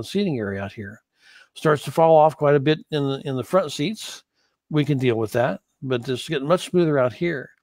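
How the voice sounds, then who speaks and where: Man teaching, halting delivery, dry close sound. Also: on the high side, no room sound. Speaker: audio instructor. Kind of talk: explanatory screen-share lesson, unscripted.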